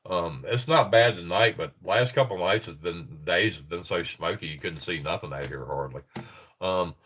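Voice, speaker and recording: A man's voice: low voice, normal speed, a bit bright, breathy edge. Stuffed-up middle-aged guy hosting a livestream, rambly, chuckles a lot, keeps restarting sentences. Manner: rambling, chuckling, self-interrupting. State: congested, stuffy nose. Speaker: livestream host. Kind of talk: casual livestream chat, reading reports aloud.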